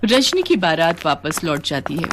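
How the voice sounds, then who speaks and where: A woman's voice: low voice, very animated, normal speed, a bit bright, slight background noise. A woman narrating a scripted moral story as a storyteller.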